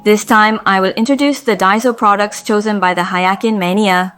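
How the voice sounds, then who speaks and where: A woman with a slightly hoarse voice, calmly and methodically explaining household products in a review vlog. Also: medium pitch, moderate pace, faint music behind. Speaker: home organizing vlogger.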